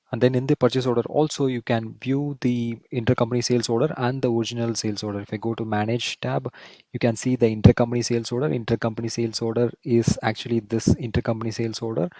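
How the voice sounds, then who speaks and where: A man presenting a screen-share software tutorial, explaining step by step and often repeating himself. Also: on the low side, dry recording. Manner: step-by-step, repetitive explaining, often checks with "right?".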